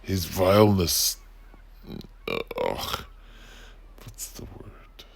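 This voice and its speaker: A man playing an earnest, slow-witted warrior noble in comic mock-Shakespearean style, gruff and halting. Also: deep voice, wildly swooping pitch, full character voice. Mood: frustrated, halting. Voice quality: gruff, husky. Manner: ponderous, halting.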